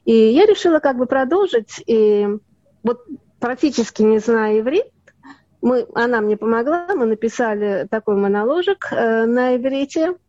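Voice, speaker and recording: A woman raised in Russia tells her story in a relaxed, rambling way, filling her pauses with hesitations. Her voice sits a little high and sounds mellow. The recording is dry and dull, as if from a modest mic. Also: no room sound. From Russia (Leningrad). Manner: rambling, many fillers and restarts. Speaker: actress and dubbing artist, guest. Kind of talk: casual interview talk.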